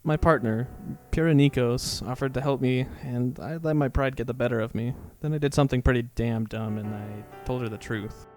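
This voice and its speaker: A man's voice: mid-range voice, fairly quick, few pauses, darkish tone, soft background music, natural voice. Man reading as downcast young mobster confessing his past. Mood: rueful, confessional. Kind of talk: dramatic reading of fan fiction.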